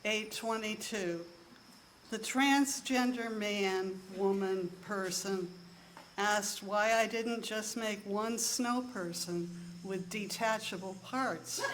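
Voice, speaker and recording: Woman, soft voice, deadpan stand-up monologue, unhurried timestamped beats, mid-range pitch. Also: slight background noise, low-quality recording. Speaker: monologue performer. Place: live show in a room.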